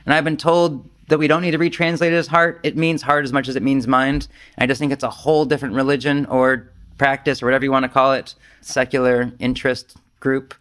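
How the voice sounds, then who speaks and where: A man's voice: mid-range voice, fast talker. Casual, self-deprecating man giving a talk. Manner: animated, self-deprecating, chuckles often. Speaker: Zen teacher leading a retreat talk. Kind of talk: informal dharma talk, conversational and rambling.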